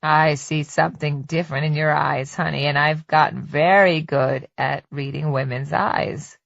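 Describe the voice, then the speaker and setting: A woman narrator plays a middle-aged husband in a storybook thriller, his voice dropped low. He is calm, folksy and falsely tender, talking down with patient menace. The recording is dry and slightly dull. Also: moderate pace, vocal fry, no room sound, heavily acted. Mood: soft, menacing. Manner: folksy, patient, condescending endearments.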